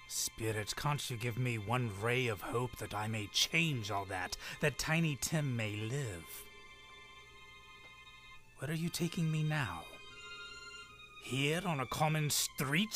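Old miser in a radio drama, super theatrical, slow delivery, pitch all over the place. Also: male voice, fairly bright tone. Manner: theatrical, emphatic, dramatic pauses.

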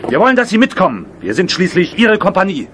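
Lively, stubborn army sergeant. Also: male voice, high voice, quick pace, slight background noise, full character voice. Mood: defiant. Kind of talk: German war radio drama acting.

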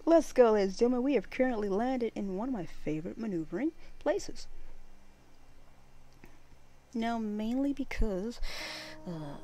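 A woman's voice: on the high side, expressive, moderate pace, pauses often, warm tone. A woman livestreaming a game with casual, playful, chatty live commentary. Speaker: gaming livestreamer.

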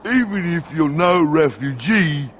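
Slow-witted game henchman: sniveling, timid, lively pitch, dragging pace, faint noise behind. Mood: dimly obedient. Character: dim, timid male bandit henchman. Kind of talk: fantasy video game acting.

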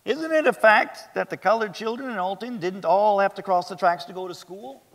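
Man acting high-voiced pressing lawyer, echoing hall. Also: moderate pace. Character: pressing, skeptical cross-examining lawyer in an 1890s trial. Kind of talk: staged dramatic reading of a trial. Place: large theater stage, indoors. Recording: hall reverb from a theater stage.

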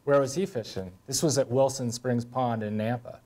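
A man's voice: mid-range voice, steady tone, moderate pace, dark tone. A man in his thirties with an American accent, giving a scripted instructional presentation as a conservation officer. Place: indoor room beside whiteboard. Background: music.

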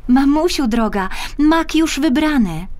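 A woman's voice: high-pitched, animated, a little slow, darkish tone, voice pushed up. A woman voicing a good-hearted, sweetly pleading young girl in a children's storybook tale. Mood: pleading, hopeful.

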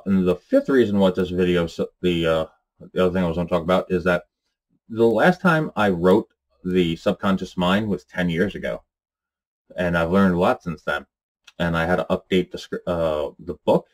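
Deep-voiced man chatting to camera. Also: a little mellow. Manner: rambling, many fillers, earnest. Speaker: channel host. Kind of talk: casual talk to camera. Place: small room with bookshelves and dark curtain.

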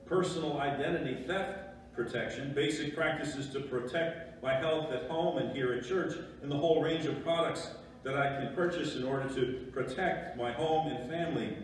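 A male pastor with an American accent preaches in a measured, rhetorical style, pausing for emphasis. His medium-pitched voice rings through a very reverberant church. The mic is some way off, and faint noise sits behind him. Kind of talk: sermon. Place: church sanctuary. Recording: very echoey church, distant mic, background noise.

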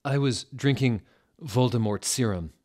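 A man voicing a weepy, depressed goth woman professor, plaintive and halting, in a fan fiction reading. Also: medium pitch, a little mellow, pitched above the performer's own voice, natural voice. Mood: sad.

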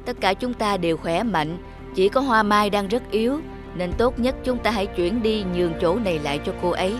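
An actor voicing a wise, gentle old male oak tree in a children's cartoon, calmly admonishing the others. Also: medium pitch, a little fast, natural voice. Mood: resigned, kind. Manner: calm, admonishing. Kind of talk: children's moral cartoon dubbing. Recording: loud music under the voice.

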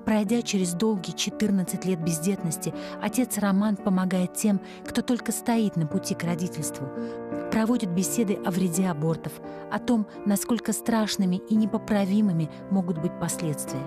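A woman's voice: mid-range voice, normal speed. A woman reading a scripted television report as a reporter's voice-over. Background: music.

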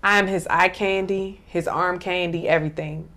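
A middle-aged woman hosting a casual panel chat in a small studio, emphatic and animated, often repeating her agreement. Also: fairly low pitch, normal speed. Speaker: talk show host. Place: small bright studio set.

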